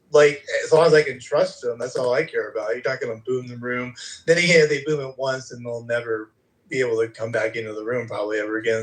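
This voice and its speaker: A man's voice: mid-range voice, low-quality recording. Rambling man hosting an audio room. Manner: rambling, loose, many fillers. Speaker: room host and moderator. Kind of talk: casual live audio chat.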